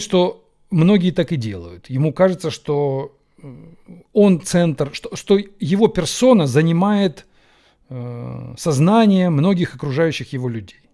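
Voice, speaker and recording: Reflective man with a mellow voice. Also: fairly high pitch. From Ukraine. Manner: reflective, with frequent pauses and fillers. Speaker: host answering viewer questions. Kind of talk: Q&A monologue. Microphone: camera setup.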